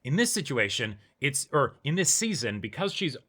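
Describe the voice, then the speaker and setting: A man with a bright, forward voice at a middling pitch talks as a co-host in a casual discussion. He gives his opinions emphatically and keeps tagging them with small check-ins to his partner. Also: sing-song, moderate pace, husky. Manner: emphatic, peppers talk with "right?" and "you know". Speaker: co-host of an anime reaction and discussion show.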